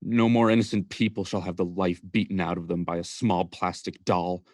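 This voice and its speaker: A young man plays a self-righteous son bent on exposing a wrong in a student radio drama. His voice is on the low side, delivering an emphatic, indignant monologue in a very dry recording. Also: fairly even intonation, normal speed, a little mellow, dry recording, close to an everyday voice. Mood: resolute. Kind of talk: student radio play acting.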